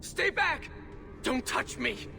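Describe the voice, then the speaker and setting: A man's voice: very high voice, lively intonation, slow pace, gravelly, in a large hall, music in the background, heavily acted. An actor playing a fearful, suspicious young male elf in a fantasy video game, frantic and pleading. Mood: terrified.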